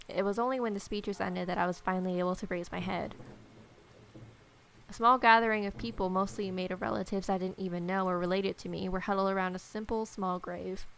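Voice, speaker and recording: A woman with a gravelly, fry-edged voice at a middle pitch reads a visual novel aloud. She slips between narration and casual, sarcastic commentary. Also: bright, forceful tone. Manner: irreverent, sarcastic. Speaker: let's player.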